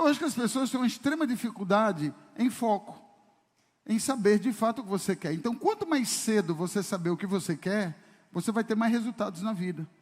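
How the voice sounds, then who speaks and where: A man preaching a sermon as pastor, emphatic and full of rhetorical questions to the congregation. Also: high voice. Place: church service hall. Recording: long reverb of a church hall.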